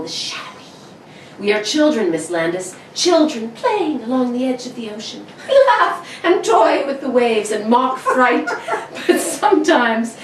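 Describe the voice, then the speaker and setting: A woman's voice: mid-range voice, natural voice. A woman playing an unnerved, sleepless, eerily intense man in a 1940s-style suspense radio drama, theatrical and brooding. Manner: theatrical, brooding, nervous laughter. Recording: roomy, distant, faint background noise.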